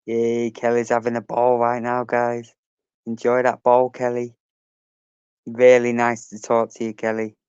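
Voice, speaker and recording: A man's voice: medium pitch, completely flat delivery, measured pace, darkish tone, clean tone, dry recording, dull audio. A man hosting a casual livestream, chatting and reading out messages from his chat, swearing now and then.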